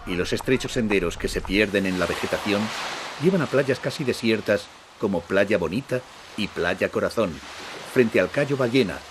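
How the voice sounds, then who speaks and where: A man narrating a travel documentary in a measured, scripted style. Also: lowish voice, animated, background noise. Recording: music bed under voice-over.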